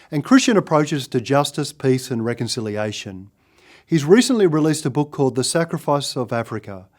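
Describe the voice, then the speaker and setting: A man hosting an interview, reading a prepared introduction and then asking measured questions while murmuring soft acknowledgements. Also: mid-range voice. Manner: measured, attentive, frequent soft backchannel "mm". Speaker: interview host.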